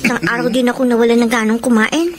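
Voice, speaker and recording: Woman acting high-voiced, heartbroken Filipina girlfriend. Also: level tone, quick pace, slight background noise, natural voice. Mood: troubled. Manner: emotional, melodramatic. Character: hurt, betrayed young girlfriend telling her love story. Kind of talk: Filipino radio drama acting and narration. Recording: music bed under the voice.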